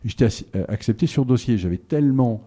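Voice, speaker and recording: A man's voice: mid-range voice, animated, quick pace, warm tone. A middle-aged man from southern France, talking through his life in an interview with many fillers and restarts. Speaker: interview guest. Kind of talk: relaxed conversational interview.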